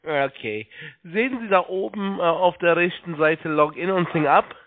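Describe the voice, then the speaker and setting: A man working as a phone agent, giving halting, repetitive step-by-step instructions over a narrow phone line. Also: high voice.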